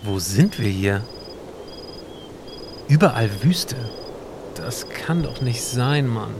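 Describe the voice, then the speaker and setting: A man's voice: medium pitch, animated, slow, noisy background. A man playing a curious, sceptical time traveller in an amateur audio drama, casual and questioning. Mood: disbelieving.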